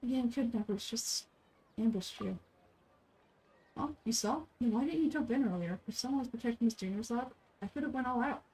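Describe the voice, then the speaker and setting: A woman's voice: on the high side, brisk, mellow, slightly rough, poor audio. A woman voicing a tough, frank desert mercenary woman in a video game. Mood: urgent. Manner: frank, no-nonsense.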